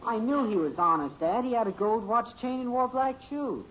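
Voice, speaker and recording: An actor playing an earnest, stubborn and gullible teenage son in a 1950s radio sitcom, with boyish, stammering protests. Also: male voice, very high voice, animated, moderate pace. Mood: earnest, defensive. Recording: muffled, hissy old radio recording.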